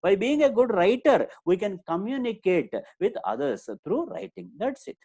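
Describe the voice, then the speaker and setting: A man's voice: high-pitched, lively intonation, normal speed, creaky, a little mellow. A middle-aged man, a Telugu speaker, giving a live online lecture, repeating key points and quizzing his audience. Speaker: communication trainer.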